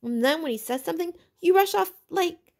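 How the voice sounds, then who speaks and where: An indignant, put-upon schoolboy played by a woman reading a storybook, pitched high and creaky. He protests plaintively in colloquial speech, frightened and desperate to be heard. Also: expressive, fairly quick, darkish tone, heavily acted. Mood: angry, indignant.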